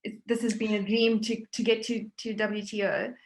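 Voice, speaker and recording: A woman's voice: mid-range voice, level tone, moderate pace, roomy sound. A woman interviewing a graduate over a video call, friendly and encouraging in a casual way. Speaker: interviewer from the university.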